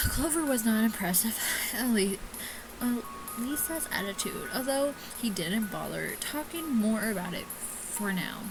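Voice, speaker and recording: A woman with a fairly high voice reads a story game aloud at a slow pace, with music clearly playing behind her. She stumbles over words and repeats lines, mixing in casual commentary. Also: poor audio. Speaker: let's player reading a visual novel aloud. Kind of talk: casual gameplay commentary with halting read-aloud of game text.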